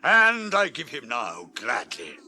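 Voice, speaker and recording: Regal old British warrior king, slow and declamatory, swinging widely in pitch, fantasy radio drama. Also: huge pitch swings, sharp tone, slight background noise, heavily acted. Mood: solemn. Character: proud old warrior king, resolute and commanding. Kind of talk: theatrical fantasy radio play acting.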